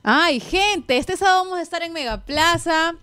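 A woman's voice: very high voice, animated, normal speed, pushed and bright. A woman in her twenties with a Peruvian accent, a radio host chatting playfully and informally in a studio. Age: 20s. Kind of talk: casual on-air chat. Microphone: broadcast studio microphone on arm.

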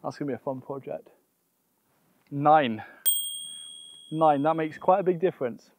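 A man's voice: a little high, a little slow, darkish tone, a little hiss or hum. A young man presenting a casual gardening vlog outdoors, explaining things and often thinking aloud. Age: young adult.

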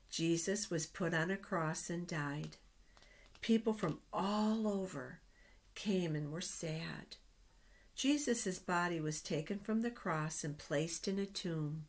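Slow-paced middle-aged woman reading to children. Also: lowish voice. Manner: deliberate, expressive read-aloud phrasing with pauses. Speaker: children's storytime host at a church. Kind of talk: reading a storybook aloud to children. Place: small indoor office.

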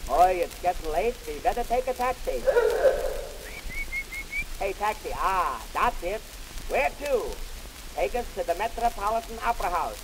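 Crackly 1920s 78 comedy record, guy doing a high-pitched grumbling wisecracker with yiddish accent, brash and cheap. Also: expressive, a little slow, long pauses. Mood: exclaiming, wisecracking. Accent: Yiddish-inflected English. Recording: hissy, noisy 1920s 78 rpm record.

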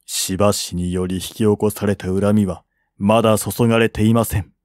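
A man voicing a calm, grave strategist of a fallen kingdom in formal, measured audiobook dialogue. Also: on the low side, no echo, clean studio sound, lightly acted. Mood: solemn, resentful.

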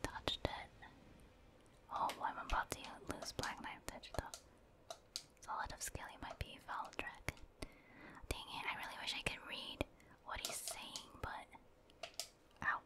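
A woman speaks entirely in a soft, breathy whisper. She is commenting on a video game in ASMR style, as a streamer would. Her delivery is chatty, made up of short murmured asides and reactions to the play. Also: a little slow, long pauses. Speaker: gaming streamer and ASMR host.

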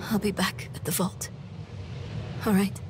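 An actress playing an earnest, devoted and vulnerable young daughter in a dark fantasy game cinematic. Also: female voice, medium pitch, little pitch movement, a little slow, noisy background, natural voice. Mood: subdued, resolute. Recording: music behind the voice.

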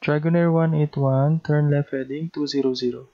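A man's voice: fairly high pitch, steady tone, moderate pace, mellow. A man with a Filipino accent speaking in the clipped, procedural style of an air traffic control demonstration, on a dull lo-fi recording.

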